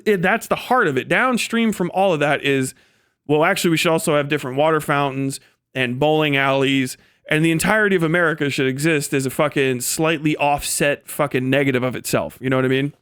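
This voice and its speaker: Clear, higher-pitched man in casual livestream commentary. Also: a bit bright, dry recording, studio quality. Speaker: livestream host. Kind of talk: casual profane political commentary.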